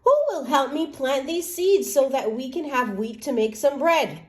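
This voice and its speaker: Little red hen, acted by a woman, high voice, very lively swings, industrious, cheerful, resolute, children's storybook. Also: moderate pace, slight background noise. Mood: eager.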